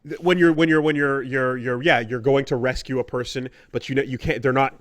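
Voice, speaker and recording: Man, mid-pitched voice, dry sound, casual podcast talk, analytical, halting and repetitive phrasing. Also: steady tone, dry recording. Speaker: podcast co-host. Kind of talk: casual analytical chat about stories in games.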